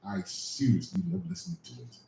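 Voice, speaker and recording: Gravelly, dark-voiced male station announcer. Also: lowish voice, sing-song, quick pace. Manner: dramatic, punchy announcer delivery. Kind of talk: promotional station jingle. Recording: echoey, distant, faint ambience.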